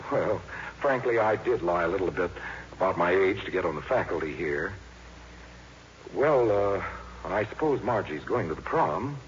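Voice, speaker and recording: An actor playing an earnest, bashful young teacher, halting and polite, in 1950s radio style. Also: male voice, deep voice, animated. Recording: muffled, noisy old radio recording.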